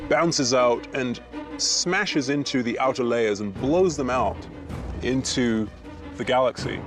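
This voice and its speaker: A man's voice: mid-range voice, slow. Man, expert interviewee, mid-range voice, explanatory talk, music underneath.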